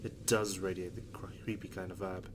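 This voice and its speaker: Man, low voice, let's play reader, narration with casual asides, noisy game ambience. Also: a little fast. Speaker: let's play host reading game text aloud. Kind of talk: reading visual novel narration with casual reactions. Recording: steady loud background noise.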